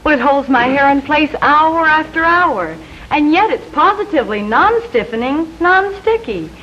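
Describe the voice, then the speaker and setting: A woman with a fairly high voice delivers a scripted fifties television commercial. The old recording is dull, with faint noise behind her. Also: lively intonation, moderate pace, a bit bright. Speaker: commercial spokeswoman. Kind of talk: scripted 1950s live TV commercial. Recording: dull, faintly noisy 1950s TV broadcast.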